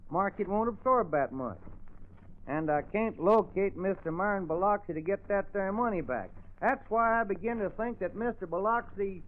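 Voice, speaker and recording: An actor playing a gullible, apologetic country husband and farmer with a Southern accent, earnest and polite, in old radio drama style. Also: male voice, sits high, lightly acted. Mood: rueful. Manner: earnest, slow-witted, polite, folksy. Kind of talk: 1950s radio drama acting. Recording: tinny old radio broadcast recording.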